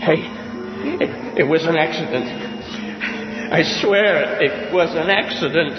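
Male actor for guilt-ridden man lost among graves, radio horror; higher-pitched, frightened, dull lo-fi sound. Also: a little slow, over loud music, close to an everyday voice. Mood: panicked, pleading. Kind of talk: radio horror drama acting. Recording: muffled old radio drama recording.

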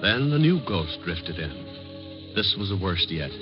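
Wry American narrator, lower voice, old radio play, muffled sound, music behind. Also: male voice, sing-song, a little slow. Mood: wry storytelling. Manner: wry, colloquial storytelling. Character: wry male storyteller narrating a Christmas ghost tale. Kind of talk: 1950s radio scripted narration.

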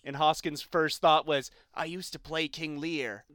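A man with a smooth, very clear voice pitched a bit high. He sounds close and dry as he casually discusses films as a podcast co-host. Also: steady tone, a little slow, fairly bright tone, no room sound.

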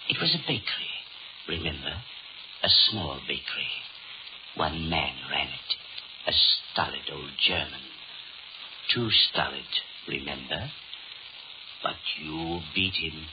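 A man's voice: rumbling low, slow, long pauses. An actor playing a sinister, gloating villain who savors his words with mocking, theatrical questions in 1930s radio horror. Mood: gloating relish. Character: sinister, gloating male partner in crime reviewing misdeeds. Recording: dull, noisy 1930s radio recording.

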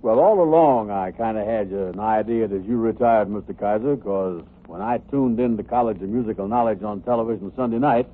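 An actor playing a slow-witted, confused, folksy man in a radio comedy, with hesitant, ungrammatical speech. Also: fairly low pitch, close to an everyday voice. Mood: puzzled. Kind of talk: 1950s radio comedy acting. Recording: muffled, noisy old radio broadcast.

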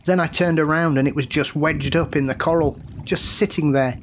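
A man's voice: fairly high pitch, steady tone, normal speed, slight background noise, close to an everyday voice. A man playing a grieving diver in an audio drama, blunt and full of guilt. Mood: tense.

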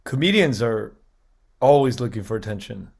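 A man hosting a podcast, asking casual questions in a halting way with many restarts. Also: on the low side, animated, vocal fry, slightly rough. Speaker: podcast host.

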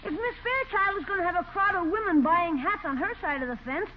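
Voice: extremely high pitch, fairly quick. An actor playing a wisecracking, eager schoolboy nephew in 1940s radio comedy style. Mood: cheeky. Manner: cheeky, eager. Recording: muffled 1940s radio broadcast, loud hiss.

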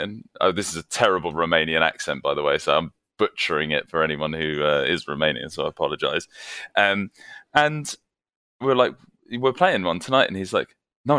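A British man with a fairly low voice and a bright, forward tone tells tour anecdotes casually. He acts out conversations as he goes, in an animated, chatty way. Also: fairly quick, no room sound. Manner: animated, acts out quoted dialogue. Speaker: podcast guest, metalcore band vocalist.

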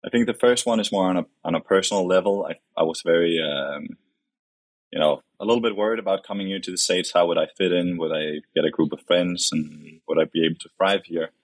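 A man in his late twenties with a Danish accent, chatting casually and haltingly as a modest interview guest. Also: sits low, no echo. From Denmark, grew up in Copenhagen. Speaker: podcast guest, company vice president.

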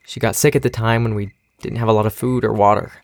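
A young man playing a weary, anxious but caring survivor in an audio drama, with a gravelly creak in his voice. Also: lowish voice, level tone, quick pace, a little mellow, natural voice. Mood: sorrowful. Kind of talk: naturalistic audio drama acting.

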